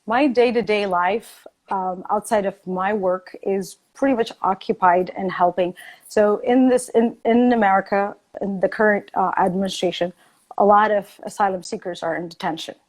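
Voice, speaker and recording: A woman's voice: mid-range voice, fairly even intonation, normal speed, a little mellow, poor audio. A woman arguing passionately in a heated debate, insistent and repeating her points for emphasis. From born in Addis Ababa, Ethiopia. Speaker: debate guest, refugee advocate. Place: lives in New York City.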